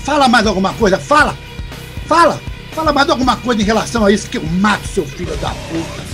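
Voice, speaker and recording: A man acts out a middle-aged tyrant of a father in an audio drama. His voice is high for a man, aggressive and full of curses and threats, with music clearly playing behind it. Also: lively intonation, fast talker, fairly bright tone. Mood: furious. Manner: aggressive, cursing, barking orders.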